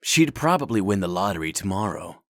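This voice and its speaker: Man voicing a low, lively gossipy sidekick. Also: fairly quick, dry recording, studio quality. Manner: casual, folksy, gossipy. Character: gossipy, roguish male sidekick, eager and folksy. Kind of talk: comic dub acting.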